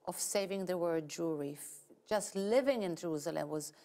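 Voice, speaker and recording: Measured woman with an Israeli accent. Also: lowish voice, mellow. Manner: explanatory, measured, precise. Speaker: guest novelist. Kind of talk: interview answers.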